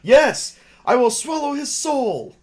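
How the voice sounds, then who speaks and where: Possessed killer acted by a man, menacing, pitched high, loud threats for a horror tabletop game. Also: sing-song, takes it slowly, a crowd in the background, full character voice.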